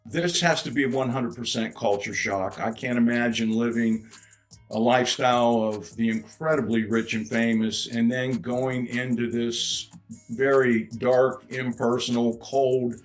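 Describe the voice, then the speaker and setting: A man speaking in an interview, explaining things in a measured way and listing details. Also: medium pitch, fairly even intonation, faint music behind.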